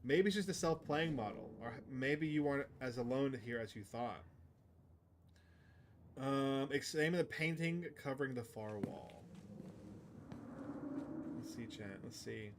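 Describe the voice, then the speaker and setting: A man's voice: medium pitch, unhurried, pauses often. Chatty male game streamer, noisy recording. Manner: chatty, addresses stream chat. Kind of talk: live let's-play commentary mixed with reading game text aloud.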